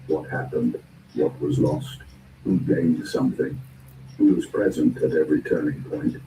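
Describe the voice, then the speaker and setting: A man delivering a scripted spoken-word motivational narration in deliberate, measured phrases and short emphatic statements. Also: a bass voice. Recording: roomy, distant, clearly noisy recording.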